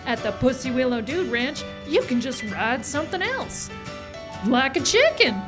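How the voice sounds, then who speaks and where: A woman's voice: high voice, sing-song, moderate pace. A woman playing a folksy, eager cowgirl who delivers a salesy old-time radio commercial parody for a dude ranch. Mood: hearty sales pitch. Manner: salesy, exaggerated western patter. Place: outdoor park stage. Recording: live outdoor show with music behind.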